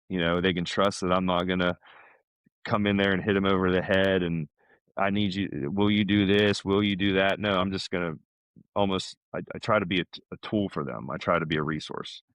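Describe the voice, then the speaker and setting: Man, deep voice, dry close sound, informal podcast chat, talkative. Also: a bit bright, clean tone, no echo. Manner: chatty, informal, peppers talk with "man" and "you know". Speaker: podcast guest. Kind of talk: casual conversational podcast talk.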